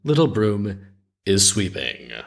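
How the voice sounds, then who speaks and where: A frantic, defensive little storybook object, read by a man in a slightly low voice. It recites its grievances with indignant emphasis and very lively pitch. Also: slow pace, creaky, heavily acted. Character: frantic, defensive little household things in a folktale.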